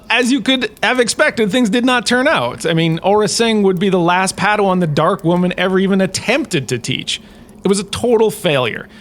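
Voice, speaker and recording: Casting: male actor as chatty, pompous galactic professor for comic web series, slightly high voice, wry and easily affronted. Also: a bit bright. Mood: exasperated. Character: pompous, touchy, chatty male sci-fi university lecturer on famous bounty hunters. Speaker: host, lecturer. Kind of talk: scripted comic in-character lecture.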